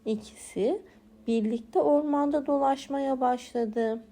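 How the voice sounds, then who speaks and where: Female children's storyteller, very high and unhurried, for bedtime tales. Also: vocal fry, dark tone. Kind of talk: scripted bedtime story narration for small children.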